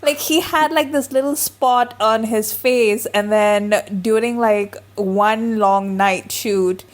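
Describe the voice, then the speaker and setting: A woman telling a story in casual podcast chat. She speaks slowly, fills her sentences with "like" and giggles along the way. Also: on the high side, steady tone. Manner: giggly storytelling, says "like" often.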